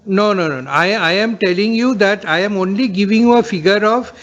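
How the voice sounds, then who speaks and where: A man with an Indian accent, a chief financial officer explaining figures on an earnings call in a halting, repetitive way. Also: high voice, a bit bright. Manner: halting, repeats words. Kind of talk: earnings call question and answer. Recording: dull call audio, faint noise.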